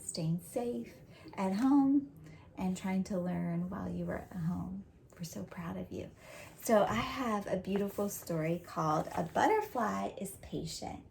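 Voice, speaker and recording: Creaky-voiced woman teaching young children. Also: mid-range voice, darkish tone, a little hiss or hum. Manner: encouraging, explains simply with check-in questions. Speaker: teacher reading aloud to young students. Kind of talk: read-aloud lesson for children.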